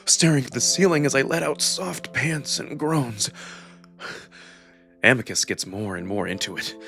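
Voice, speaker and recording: Male narrator, medium register, for first-person visual novel drama. Expressive, emotive read with music underneath. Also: medium pitch, creaky. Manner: expressive, acted delivery with emotional emphasis. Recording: music behind the voice.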